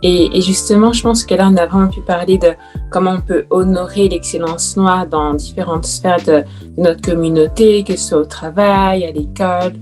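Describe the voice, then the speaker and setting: A woman hosting a podcast panel, asking her questions in a measured, drawn-out way. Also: fairly low pitch, steady tone, a little mellow, soft background music. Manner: measured, asks questions at length. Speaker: podcast host. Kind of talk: moderating a panel discussion.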